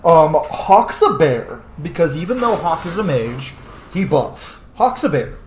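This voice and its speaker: A male let's-player reacts aloud to a game in a casual, playful, foul-mouthed way. His medium-pitched voice moves around a lot and has blunt delivery. The room gives it audible echo, with faint noise underneath. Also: a little slow. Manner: animated, blunt, profane, playful. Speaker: gaming streamer, let's-player.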